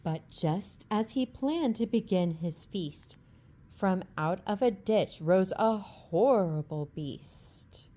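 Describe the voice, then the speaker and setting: A woman reading a rhyming bedtime story aloud in a calm, rhythmic ASMR style. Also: mid-range voice, slow pace.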